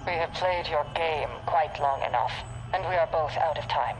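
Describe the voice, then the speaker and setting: A woman's voice: sits low, normal speed, natural voice, poor audio. An actress playing a driven, bitter older woman scientist in a dramatic video game cutscene. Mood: calculating. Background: music.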